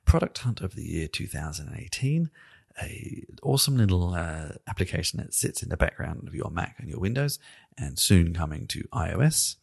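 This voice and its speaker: A man's voice: low voice, creaky, a little mellow, breathy edge. A man hosting a podcast in a conversational, musing and wry manner, often hedging as he goes. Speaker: podcast host and interviewer.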